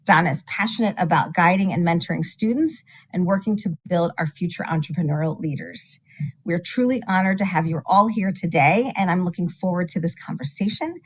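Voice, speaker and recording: A woman hosting an event, reading a speaker's bio in a measured way over an online call. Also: lowish voice, breathy edge, lo-fi sound. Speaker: event host introducing a speaker. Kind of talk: formal introduction.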